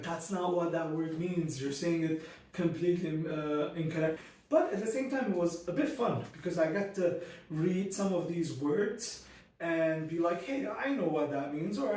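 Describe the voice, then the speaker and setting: A man's voice: a little high, a little mellow, distant mic. Male booktuber, chatty and ranty, in a very echoey room a step back from the mic. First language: not Arabic; Arabic learned as second language. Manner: ranty, many fillers and restarts. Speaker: book reviewer. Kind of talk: casual spoken book review.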